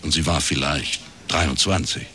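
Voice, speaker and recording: An actor playing a reserved middle-aged detective in a radio crime drama, speaking in a dry, laconic way. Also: male voice, very deep voice, fairly even intonation, unhurried, pushed and bright, faint music behind, exaggerated voice. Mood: dry, reflective. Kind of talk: first-person radio crime drama narration and dialogue.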